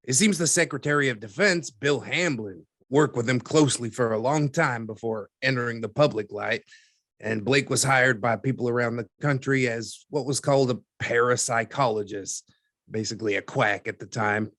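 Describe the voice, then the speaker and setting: Man playing skeptical newspaperman, medium-pitched male voice, wry, measured explanations, conversational, dry close recording. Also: fairly bright tone, dry recording. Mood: matter-of-fact. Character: dry, skeptical newspaperman recounting archived cases. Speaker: newspaper man being interviewed. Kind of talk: conversational interview in an audio drama.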